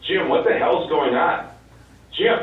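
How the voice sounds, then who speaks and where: A man's voice: a little high, measured pace, background noise. A man playing a businesslike Mission Control officer speaking over the radio in a stage drama. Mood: alarmed, urgent. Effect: radio transmission. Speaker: stage actor in a one-act play. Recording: very echoey, distant, tinny phone-like radio sound.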